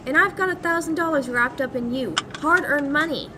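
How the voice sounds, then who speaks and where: A young woman plays a plucky, fretful sweetheart in 1940s radio acting style. Her voice sits very high, and there is clear vehicle noise behind her. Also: a little fast, natural voice. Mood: worried, insistent. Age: young adult. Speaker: high school actor.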